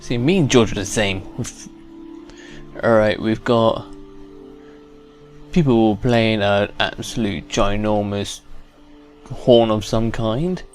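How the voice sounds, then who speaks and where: A man giving casual, halting gameplay commentary, thinking aloud as he plays. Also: on the low side, a little mellow, soft background music. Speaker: gamer, let's play host.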